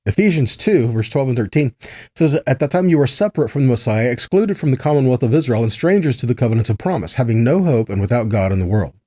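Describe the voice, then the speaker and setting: A man's voice: mid-range voice, expressive, quick pace, mellow, no echo, clean studio sound. A man teaching a weekly Bible lesson in a conversational way, reading scripture passages aloud and checking in with his listeners. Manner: conversational, checks in with "right?" and "see that?". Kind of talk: weekly Torah portion teaching.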